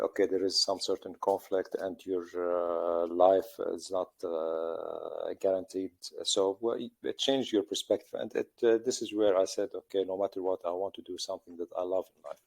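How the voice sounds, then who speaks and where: A middle-aged football coach from Lebanon answering interview questions in halting English full of pauses and fillers. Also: male voice, deep voice, gravelly, darkish tone.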